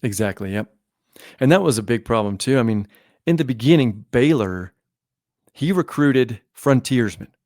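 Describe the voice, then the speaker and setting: A man's voice: mid-range voice, expressive, normal speed, a little mellow, no room sound, clean studio sound. A man who is sick and losing his voice, talking through history in a rambling, self-correcting way during a casual podcast interview. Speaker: guest historian.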